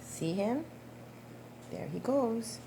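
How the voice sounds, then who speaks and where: A woman reading a picture book aloud to young children in a gentle, deliberate, expressive way, with questions put to the listeners. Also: fairly high pitch, slight background noise. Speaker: storytime reader for preschoolers.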